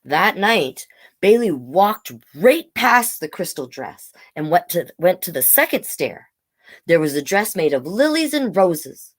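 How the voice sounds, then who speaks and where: Woman, storytime host, slightly low voice, slow pace, expressive, coaxing, children's read-aloud. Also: slightly rough. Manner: expressive, coaxing, talking to young listeners. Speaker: storytime host, drag king performer.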